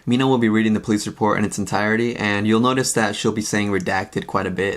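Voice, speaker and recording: A man's voice: low voice, a bit bright. A man hosting and giving casual spoken commentary on a news story. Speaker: host.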